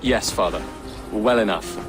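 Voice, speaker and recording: A man's voice: lowish voice, measured pace, music in the background, natural voice. An actor playing an earnest young prince after his first battle. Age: young adult. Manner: earnest, eager. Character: young prince, eager and brave.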